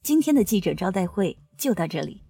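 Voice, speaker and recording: Composed spokeswoman, soft-toned, echoing room. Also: mid-range voice, big pitch swings. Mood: composed, formal. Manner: formal, measured. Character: composed, firm corporate spokeswoman manager facing reporters. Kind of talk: modern radio drama acting. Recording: echoing room, distant mic, faint music.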